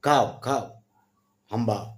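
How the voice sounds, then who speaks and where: A young man from Bangladesh speaking halting learner's English on a video call, eager and repeating words as he practices. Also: medium pitch. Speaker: channel host practicing English with strangers. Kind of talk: casual video chat with a stranger.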